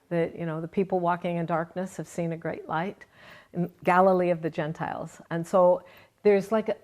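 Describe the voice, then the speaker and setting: A woman's voice: lowish voice, a little mellow. A woman guest giving an earnest, conversational account of her faith in an interview. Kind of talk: interview, personal testimony.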